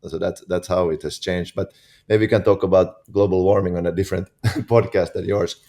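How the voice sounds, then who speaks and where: A man in his forties with a Finnish accent, a podcast guest who talks in an easy, relaxed way and often repeats words while he gets going. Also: low-pitched, normal speed. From Finland. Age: 40s. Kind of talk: casual interview talk.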